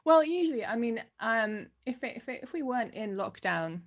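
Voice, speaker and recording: A woman's voice: on the high side, lively intonation, moderate pace. A young woman from Hungary, a PhD student guest in a casual interview, laughing often and poking fun at herself. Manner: laughs often, chatty and self-deprecating.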